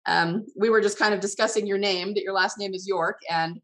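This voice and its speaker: A woman's voice: medium pitch, steady tone, fairly quick, a bit bright. A woman hosting a writing podcast, speaking as a host and coach to her audience and guests. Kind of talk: scripted introduction, then casual interview.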